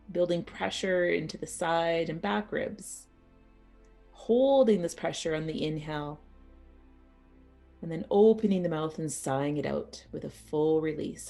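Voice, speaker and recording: A woman teaching yoga nidra as a guided meditation, speaking soothingly and unhurriedly in short phrases with pauses. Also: lowish voice, gravelly, mellow.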